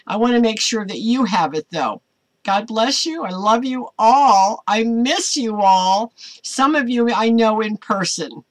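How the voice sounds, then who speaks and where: An older American woman, around her seventies, hosting an informal online Bible study in a halting, deliberate way from a small home office. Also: fairly high pitch, animated, low-quality recording. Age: older, around 70s. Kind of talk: informal devotional talk to camera with scripture reading.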